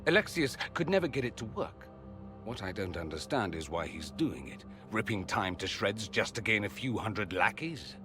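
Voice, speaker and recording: An actor playing a witty, self-assured foreign mage in a video game, with an arch, flamboyant manner. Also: male voice, lowish voice, sing-song, normal speed, over music. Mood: grave, insistent. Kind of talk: video game dialogue acting.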